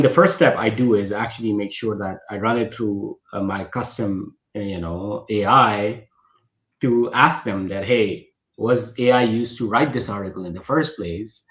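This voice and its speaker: A man's voice: lowish voice, roomy sound. A man from Pakistan hosting a podcast, talking animatedly with emphatic stresses and frequent restarts. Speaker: podcast host. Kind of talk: conversational podcast discussion.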